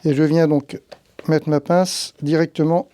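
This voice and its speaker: A man's voice: on the high side, little pitch movement, unhurried, dark tone, slight background noise. A man giving an informal hands-on tutorial, explaining each step conversationally and thinking aloud as he handles the gear. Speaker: tutorial host, tree-work professional.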